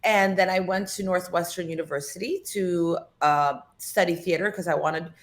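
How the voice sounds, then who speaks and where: A woman's voice: lowish voice, moderate pace. A middle-aged woman from the Philippines, a guest in a radio studio, telling stories in casual chat with many "you know" fillers.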